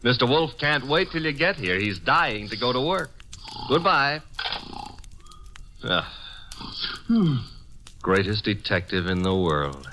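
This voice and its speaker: An actor playing a brash, wisecracking young detective's assistant with breezy, slangy patter in a 1940s radio drama. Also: male voice, mid-range voice, very animated, slow pace, long pauses, pushed and bright, full character voice. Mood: brisk, wry. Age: young adult. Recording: noisy vintage radio recording with clicks.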